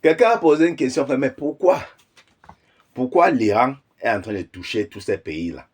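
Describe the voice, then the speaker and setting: A man's voice: mid-range voice, sing-song, normal speed, breathy edge. A man giving casual commentary, explaining his point with rhetorical questions and frequent chuckles.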